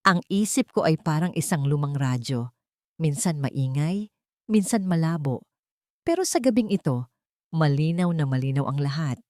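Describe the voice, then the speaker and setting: Woman acting a frail old mother, low-voiced. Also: expressive, moderate pace, dry recording, clean studio sound. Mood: sorrowful. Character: frail, sorrowful elderly mother.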